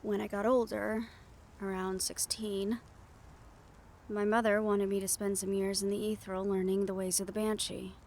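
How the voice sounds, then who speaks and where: Girl voice actor doing a gentle siren banshee woman, soft and wistful, opening up in an intimate audio rp, mid pitched. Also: little pitch movement, normal speed, slight background noise, close to an everyday voice. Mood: solemn, reflective. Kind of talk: intimate scripted roleplay audio monologue.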